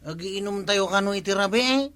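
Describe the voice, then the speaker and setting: An actor playing an indignant, blustering village watch chief in a comedic radio drama. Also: male voice, high-pitched, normal speed, a bit bright, no room sound. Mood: vengeful.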